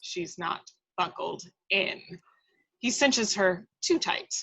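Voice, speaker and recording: A woman's voice: mid-range voice, lively intonation, slow pace, gravelly, fairly bright tone, slightly rough, poor audio. A woman reading stage directions as the narrator of a script table read, in a dramatic storytelling style.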